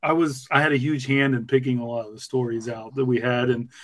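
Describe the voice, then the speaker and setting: A man speaking casually on a podcast as a producer, earnest and a little halting. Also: medium pitch, little pitch movement, a bit bright. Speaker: podcast producer who picks stories.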